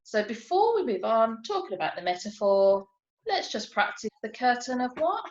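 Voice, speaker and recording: A woman's voice: on the high side, very animated, moderate pace, a little mellow. A woman tutor teaching an online lesson, explaining step by step with examples and prompting questions. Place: indoors, on a video call. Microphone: video call. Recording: dull, echoey video-call audio.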